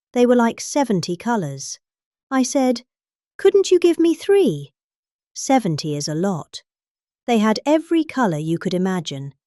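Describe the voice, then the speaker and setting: A middle-aged British woman giving a calm, expressive dramatic reading of a rambling speech. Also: medium pitch, dry recording, clean studio sound. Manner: expressive, calmly measured delivery.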